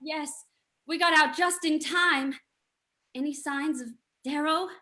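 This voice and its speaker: A woman's voice: sits high, steady tone, takes it slowly, sharp tone, full character voice. A woman playing a frightened young lady, the hero's companion, in a melodramatic old-time radio drama reading. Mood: anxious.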